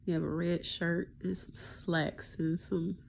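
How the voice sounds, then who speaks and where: A woman hosting her own podcast, talking in a hesitant, preachy way about faith, with many pauses and restarts and a deep voice. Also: little pitch movement, creaky, a little hiss or hum. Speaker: podcast host. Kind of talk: casual spiritual monologue.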